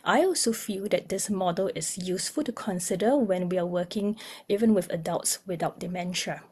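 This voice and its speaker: A young woman, a music therapist giving a measured webinar presentation with frequent ums. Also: lowish voice, a little mellow, slightly rough. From Singapore. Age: young adult.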